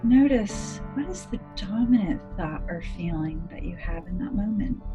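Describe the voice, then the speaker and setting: A woman leading a guided meditation as a psychologist, speaking in a gentle, measured, soothing manner with deliberate pauses. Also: medium pitch, animated. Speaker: clinical psychologist guiding a meditation. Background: music.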